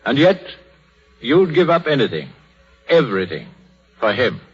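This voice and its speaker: A fatherly old clergyman with a British accent and a husky voice. He speaks in measured, solemn phrases, like gentle preaching, on a dull 1930s radio drama recording. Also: medium pitch, sing-song, soft background music. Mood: solemn, philosophical. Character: crippled old minister, gentle, philosophical, fatherly.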